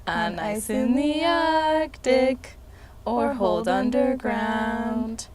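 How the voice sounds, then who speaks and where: A woman voicing Tuna, a cheerful puppet who sings a slow rhyming song and tells silly jokes to children. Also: fairly high pitch, a loud crowd. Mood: singing. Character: cheerful joke-telling puppet named Tuna. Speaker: children's puppet show performer.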